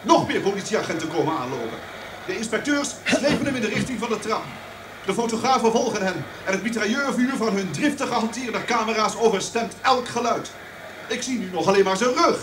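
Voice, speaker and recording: Urgent high-voiced male radio reporter. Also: animated. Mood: tense, urgent. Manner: urgent, halting, vivid. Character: agitated male live radio reporter at a scene. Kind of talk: live on-the-spot commentary in radio play. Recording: distant, echoey, noisy location-report sound.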